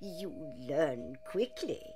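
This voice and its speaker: An actress playing an elderly weaver in an audio drama, a stern yet kindly mentor who teaches in a dramatic, breathy voice. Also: female voice, sits low, very animated, music in the background, full character voice. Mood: patient, encouraging. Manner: dramatic, instructive, slow and deliberate.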